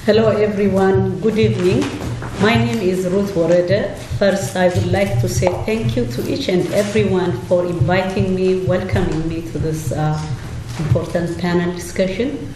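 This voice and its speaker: A middle-aged woman, a panelist giving a slow, halting personal testimony and partly reading from notes. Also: fairly low pitch, steady tone. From Eritrea, raised in Ethiopia. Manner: halting, deliberate, with many pauses. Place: church hall. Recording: echoey hall from a distant mic, noisy.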